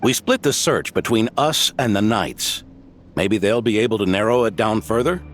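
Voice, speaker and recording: An actor playing an earnest, courteous soldier of a guardian order in a video game, formal and measured. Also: male voice, on the low side, soft background music, natural voice. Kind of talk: English video game voice acting.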